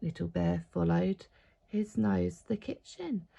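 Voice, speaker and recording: A woman's voice: lowish voice, measured pace, creaky, warm tone, slightly rough. A woman reading a children's picture book aloud expressively, with dramatic emphasis on key words. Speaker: storybook reader.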